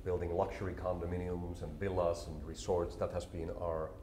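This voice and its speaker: A man, a company CEO explaining his work calmly and in a measured way in an interview. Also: sits low, a little hiss or hum. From Finland. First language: Finnish. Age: 40s. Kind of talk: business interview. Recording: roomy echo, faint ambience.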